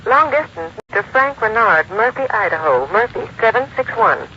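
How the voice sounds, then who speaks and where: An old hissy radio recording has a bright, forward female long-distance operator. She is brisk and polite. Her phrases are clipped and sing-song, like routine procedure in a vintage drama. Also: on the high side, animated, muffled recording. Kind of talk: 1940s radio drama acting.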